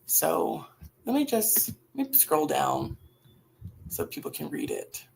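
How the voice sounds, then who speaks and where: Raspy, creaky-voiced woman speaking reflectively. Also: lowish voice, wildly swooping pitch, slow pace, darkish tone, slight background noise, rough recording. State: grieving. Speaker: livestream host. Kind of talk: casual, reflective livestream talk about faith and grief.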